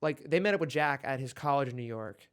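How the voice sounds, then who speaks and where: A man's voice: medium pitch, expressive, fast talker, clean tone. A man in his twenties co-hosting a podcast, talking casually and rambling with many restarts. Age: 20s. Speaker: podcast co-host. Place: in Los Angeles.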